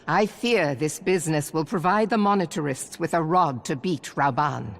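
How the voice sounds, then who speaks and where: A woman's voice: sits low, normal speed, soft background music. An actress playing a stern, composed female admiral in a fantasy game, blunt and authoritative. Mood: stern, concerned. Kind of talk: formal fantasy game voice acting.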